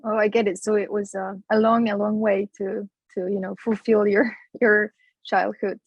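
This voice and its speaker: A woman's voice: mid-range voice, flat delivery, moderate pace, no echo, dull audio. A woman interviewing a guest, asking questions hesitantly with fillers.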